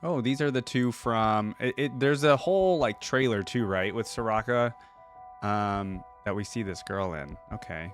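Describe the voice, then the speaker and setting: A man's voice: on the low side, measured pace, faint music behind. A man giving casual reaction commentary as a host, speaking informally and thinking aloud.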